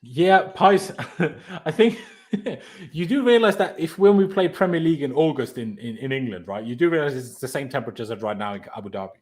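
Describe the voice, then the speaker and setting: Austrian guy hosting a football chat, german native, laughs a lot, kinda high voice. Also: expressive, darkish tone. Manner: laughs often, chatty and quick. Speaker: host. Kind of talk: casual football podcast chat.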